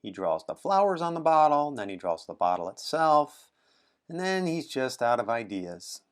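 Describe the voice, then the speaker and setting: A man's voice: mid-range voice, sing-song, moderate pace. A middle-aged man, a children's book author, talking and reading in a storytime style with simple explanations and questions to his listeners.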